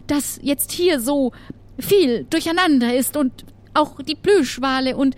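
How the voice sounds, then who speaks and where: Woman playing a troubled, high-voiced witch. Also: darkish tone. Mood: troubled, suspicious. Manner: halting, earnest, pleading. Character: troubled female witch, secretive trader in blood vials. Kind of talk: improvised audio adventure roleplay.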